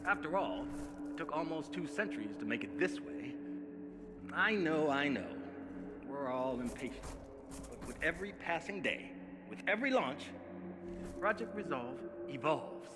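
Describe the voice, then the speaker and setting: A man's voice: fairly high pitch, animated, moderate pace, natural voice. A male actor playing a grand, idealistic corporate spokesman in a video game, giving a reassuring, oratorical promotional speech with music playing over it. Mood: reassuring, persuasive. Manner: oratorical, rhetorical, inspirational.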